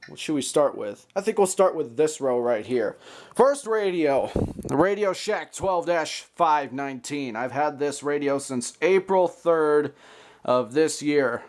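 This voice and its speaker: American male hobby vlogger, higher-pitched voice, chatty and playful, casual show-and-tell delivery. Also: expressive, a little slow. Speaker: hobbyist video host.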